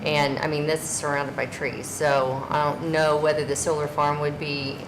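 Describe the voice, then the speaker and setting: A woman's voice: low-pitched, little pitch movement, normal speed. A woman on a county body speaking at a public meeting, hesitant and searching for words. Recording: echoey hall with background noise.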